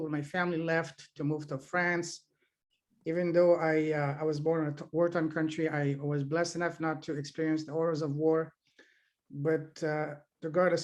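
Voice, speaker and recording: A middle-aged man from Afghanistan answering interview questions about his childhood, with many fillers and restarts. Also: a little high. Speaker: podcast host being interviewed.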